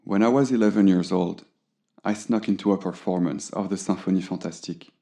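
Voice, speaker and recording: Audio drama auteur played by a man: idealistic, melancholy film director, very low and mellow, poetic, measured delivery. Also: fairly even intonation, vocal fry. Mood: reverent, wistful. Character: earnest, romantic, melancholy male French film director.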